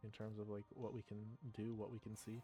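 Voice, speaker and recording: A man's voice: on the low side, monotone, fairly quick, dark tone, soft background music. A man casually commenting while playing a story game, thinking aloud with frequent restarts. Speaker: let's play gamer.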